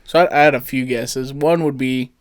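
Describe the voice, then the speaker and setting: A man's voice: medium pitch, fairly even intonation, normal speed. A man hosting a casual group chat among friends, talking in an easygoing, joking way. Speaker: podcast host.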